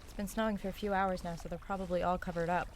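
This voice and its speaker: A woman's voice: fairly low pitch, steady tone, fast, slight background noise, close to an everyday voice. An actress playing a practical, sardonic young woman on the run in an audio drama, with naturalistic delivery and a dry manner. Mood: practical, focused. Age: young adult. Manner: dry, sarcastic.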